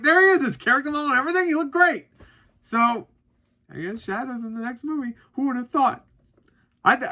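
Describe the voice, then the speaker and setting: A man's voice: a little high, bright, forceful tone. A man of thirty giving a casual, unscripted movie review as host, rambling conversationally and swearing casually.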